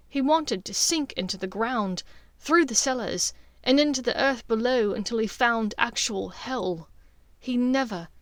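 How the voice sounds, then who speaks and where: A woman's voice: a little high, no echo, clean studio sound. A woman reading scripted story narration as an audiobook narrator.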